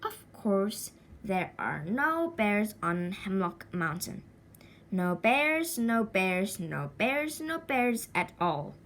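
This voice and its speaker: A woman reading a children's story aloud in an expressive, deliberate way with a sing-song lilt. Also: medium pitch, slight background noise. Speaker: storybook reader.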